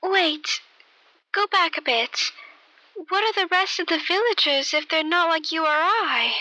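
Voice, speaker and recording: Woman acting naive young woman, extremely high voice, bright tone, hesitant, earnest, emotive radio drama dialogue. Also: measured pace, no echo, full character voice, poor audio. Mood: hesitant, curious. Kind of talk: amateur radio play dialogue.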